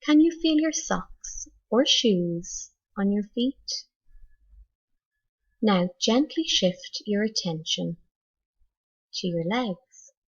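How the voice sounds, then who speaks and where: Slow, slightly husky woman guiding meditation. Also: medium pitch, lively intonation, dull audio. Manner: measured, instructional, with deliberate pauses. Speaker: teacher leading mindful meditation for students. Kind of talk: guided meditation, scripted.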